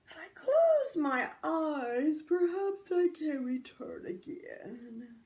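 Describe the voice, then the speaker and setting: A woman's voice: high-pitched, very animated, darkish tone, echoey room, voice pushed up, exaggerated voice. Woman doing a drowsy old man. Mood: dreamy. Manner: slow, drowsy. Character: weary old man, dreamy and slow.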